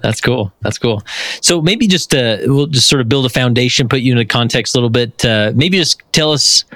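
Casual male interviewer, mid pitch, dry close sound, drops short encouraging affirmations. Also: fairly quick, a bit bright, no echo.

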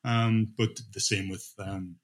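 A middle-aged man answers interview questions informally. He speaks haltingly, thinking aloud as he explains. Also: lowish voice, level tone. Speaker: dispatch manager being interviewed.

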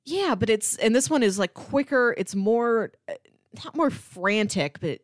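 A woman's voice: a little high, animated, a little slow, dry recording. Enthusiastic woman, close dry sound. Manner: enthusiastic, emphatic, hyperbolic. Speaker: podcast co-host. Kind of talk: casual film discussion.

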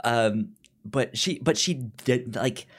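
A man's voice: medium pitch, slow, a bit bright, slightly rough. A man co-hosting a film podcast in casual conversation, stammering and often repeating syllables and words as he goes. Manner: acts out characters' lines while retelling.

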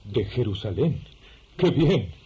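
Mellow, self-important believer. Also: male voice, medium pitch, big pitch swings, takes it slowly, a little hiss or hum, rough recording. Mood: impressed, eager. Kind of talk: radio drama acting.

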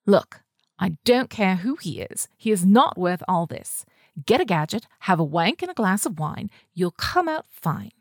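A woman's voice: medium pitch, sing-song, a little slow, dry recording, clean studio sound. A woman voicing a brash, foul-mouthed London woman in her thirties, breezy and blunt, for an audiobook. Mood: blunt, auntie-like.

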